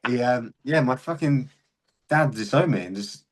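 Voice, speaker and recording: A man's voice: mid-range voice, lively intonation, normal speed. A young man, a podcast guest and serving soldier, telling candid stories in a casual chat and swearing freely.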